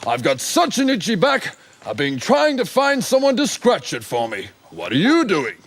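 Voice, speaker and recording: A big itchy groaning hippo. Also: male voice, wildly swooping pitch, full character voice. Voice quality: deep voice. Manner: groaning and slow, drawn-out. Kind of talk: children's TV acting. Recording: loud music underneath.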